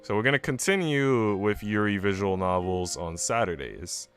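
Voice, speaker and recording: A man's voice: lowish voice, animated, moderate pace. A man chatting casually and ramblingly to viewers as a game streamer. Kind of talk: casual livestream chat. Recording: music playing behind the voice. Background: background music.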